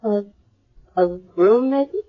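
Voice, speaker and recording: An actress playing a timid, bewildered young woman with amnesia in a 1970s radio drama, speaking in a halting, hesitant way. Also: on the high side. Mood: confused, anxious. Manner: hesitant, halting, repeating words. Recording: dull lo-fi old radio broadcast.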